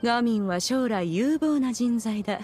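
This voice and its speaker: A woman's voice: a little high, lively intonation, moderate pace, darkish tone, soft background music, natural voice. A woman voicing a proud, regal ancient immortal in an anime game, a stern but caring master with stately, archaic diction. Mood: haughty, curious.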